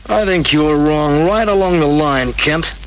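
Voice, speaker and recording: A man's voice: on the high side, normal speed, lightly acted. A man playing a smug, defiant young killer in an old-time radio crime drama, insolent and sneering. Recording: old radio recording with faint hiss.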